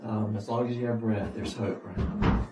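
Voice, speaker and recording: A man's voice: on the low side, fairly even intonation, normal speed, reverberant, recorded from a distance, heavy background noise. A man, seemingly the pastor, interviewing guests during a morning church service. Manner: encouraging, short affirmations.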